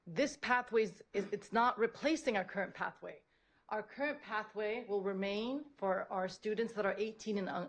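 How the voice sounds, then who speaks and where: A woman giving a prepared public presentation as a school principal, earnest and repeating phrases for emphasis. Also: mid-range voice. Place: public board meeting room, at a podium.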